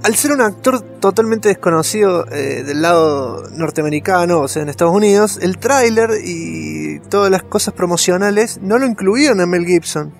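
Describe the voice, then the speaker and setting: Higher-voiced, creaky Argentine man. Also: expressive, normal speed, few pauses, soft background music. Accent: Argentine Spanish. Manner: colloquial, emphatic repetition. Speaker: podcast co-host. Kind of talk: casual film trivia chat.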